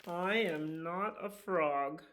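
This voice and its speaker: A stubborn little frog who swears he is a dragon, read aloud by a woman. He talks loudly and insistently in a low, unhurried voice, like a storybook character in a picture-book reading.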